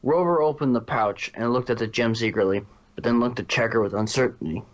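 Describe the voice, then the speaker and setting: A man's voice: medium pitch, brisk, muffled recording. A man narrating a fan fiction story as a reader.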